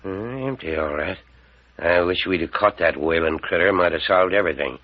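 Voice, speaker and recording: An actor playing an excitable, folksy small-town doctor in an old-time radio mystery serial. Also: male voice, low-pitched, normal speed, lightly acted. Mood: puzzled. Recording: muffled old radio recording.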